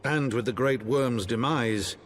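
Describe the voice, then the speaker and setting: An actor playing a composed, courtly elven count and father in a fantasy video game, with formal, measured wording. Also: mid-range voice, faint music behind, close to an everyday voice. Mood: composed, surprised.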